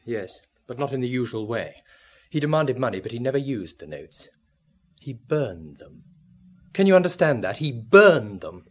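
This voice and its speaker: Husky, brooding remorseful artist. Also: male voice, mid-range voice, sing-song, normal speed. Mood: bitter, agitated. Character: bitter, remorseful artist recalling a wrecked youth. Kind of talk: radio drama acting.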